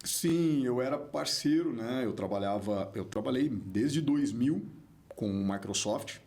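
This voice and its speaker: Gravelly Brazilian man, emphatic. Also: medium pitch, a little slow. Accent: São Paulo state Portuguese. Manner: animated, emphatic, repeats points for stress. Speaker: podcast guest. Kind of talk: casual interview talk.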